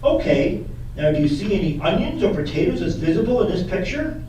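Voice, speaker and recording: A man's voice: sits high, very animated, brisk, warm tone. A man playing a persistent lawyer in a puppet courtroom re-enactment, asking methodical leading questions in an echoey room. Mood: methodical, pressing. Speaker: attorney. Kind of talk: courtroom questioning read from a transcript. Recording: echoey room from far off, faint noise.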